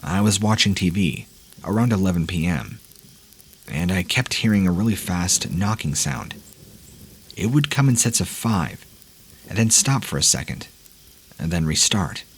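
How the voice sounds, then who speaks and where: A man reading listener-submitted scary stories aloud in a measured, ominous storytelling manner. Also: deep voice, lively intonation, husky. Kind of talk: scripted horror narration.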